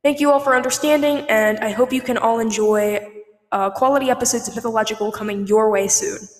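A woman with a fairly high voice addresses podcast listeners as host. She speaks earnestly and leans on key words. A filter leaves her voice very echoey, with a long reverb tail. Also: steady tone, a little fast. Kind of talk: scripted-feeling podcast announcement to listeners.

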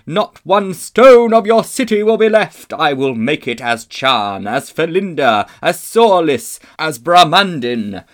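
A man's voice: on the high side, very animated, slow pace, few pauses, pitched above the performer's own voice. A man voicing a proud, scornful witch queen, declaiming grand threats in a storybook reading. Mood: scornful, triumphant.

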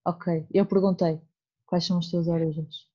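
A woman's voice: on the low side, level tone, normal speed, dark tone. A woman with a northern Portuguese accent from Porto, hosting an interview and thinking aloud with frequent hesitations and restarts. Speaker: podcast host. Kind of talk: conversational interview. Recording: dull lo-fi recording.

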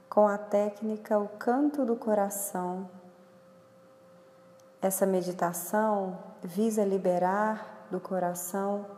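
A Brazilian woman guides a meditation in a soft-edged, mellow voice. She speaks slowly and instructionally, leaving space between phrases. Her voice echoes with a long reverb tail. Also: medium pitch. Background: music.

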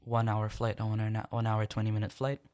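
Young adult man, podcast guest, low voice, careful and thoughtful delivery. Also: completely flat delivery, darkish tone, clear, resonant tone. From Sudan. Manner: thoughtful, measured, many pauses and fillers. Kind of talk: conversational interview answers.

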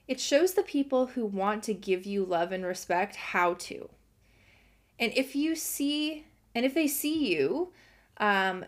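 A young adult woman hosting a self-help podcast, earnest and encouraging, her voice slightly hoarse from a cold. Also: fairly high pitch. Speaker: podcast host.

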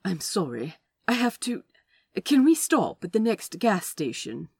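A polite, upset man voiced by a woman in an audio drama, speaking slowly with formal restraint. The voice has a creaky, husky edge and swings expressively in pitch. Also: big pitch swings, darkish tone, no echo, studio quality, exaggerated voice. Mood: anxious, apologetic.

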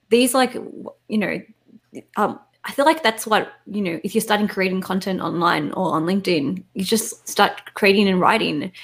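A woman with a mid-range voice talks as a guest in a casual interview. She is frank and informal, and often corrects herself partway through a thought. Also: mid-range voice. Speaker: interview guest, online content creator.

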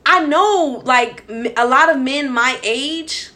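Bright-voiced woman in her late twenties. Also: sits high, takes it slowly. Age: late 20s. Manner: chatty, filler-heavy, laughs easily. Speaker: podcast co-host. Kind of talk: casual chat.

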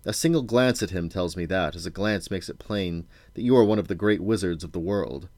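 A man's voice: low-pitched, fairly quick, dry recording. Man as a grim, imperious, joyless old king. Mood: flat, final. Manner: flat, curt, imperious.

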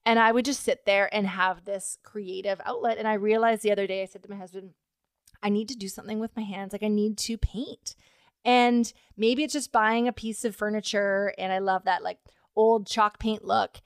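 A woman's voice: medium pitch, steady tone, normal speed, clear, resonant tone, no room sound, studio quality. A woman hosting a podcast, talking in a reflective, earnest way with fillers. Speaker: podcast host. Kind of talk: casual conversation.

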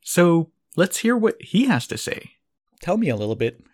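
A man in his forties from Israel, hosting a podcast and speaking earnestly, his pitch jumping up on key words. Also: very animated, normal speed. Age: 40s. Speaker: podcast host. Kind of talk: podcast introduction.

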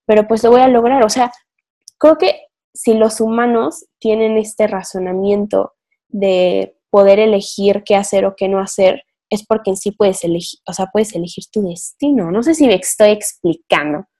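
A woman's voice: medium pitch, fairly even intonation, normal speed, warm tone. A young woman, co-hosting a podcast and rambling through a casual philosophical chat, thinking aloud with many fillers. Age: young adult. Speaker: podcast co-host.

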